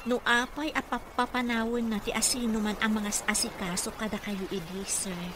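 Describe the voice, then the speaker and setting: A woman's voice: on the high side, fairly even intonation, moderate pace, close to an everyday voice. An actress with an Ilocano accent playing a devoted, respectful female physical therapist in a radio drama. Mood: concerned, inquiring. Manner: earnest, respectful. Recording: noisy radio drama recording.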